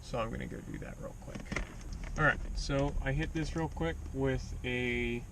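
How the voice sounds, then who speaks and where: A man's voice: medium pitch, moderate pace, noisy background. A man giving a casual, plainspoken hands-on how-to, talking himself through each step as he works. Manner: plainspoken, muttering step by step while working. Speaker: DIY vehicle installation video host.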